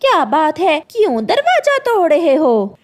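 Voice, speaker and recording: Woman doing a whiny crow wife for a cartoon, very high, up and down, always complaining. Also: huge pitch swings, unhurried, a little hiss or hum, exaggerated voice. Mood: indignant. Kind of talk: Hindi cartoon dialogue.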